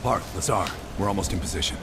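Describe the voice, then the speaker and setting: Low-voiced, commanding covert operative played by a man. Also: fast, lightly acted. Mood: focused. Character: calm, commanding male American covert operative leading a mission. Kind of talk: video game cutscene acting. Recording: loud music, rain and vehicles behind.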